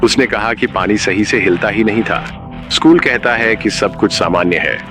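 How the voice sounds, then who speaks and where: A man narrating a scripted horror story in a measured, ominous manner. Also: lowish voice, music in the background.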